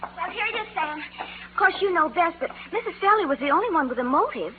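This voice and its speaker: A woman's voice: extremely high pitch, sing-song, brisk. A woman playing a naive, eager young secretary with a girlish manner in a 1940s radio drama, tinny and noisy. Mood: naive, sweet.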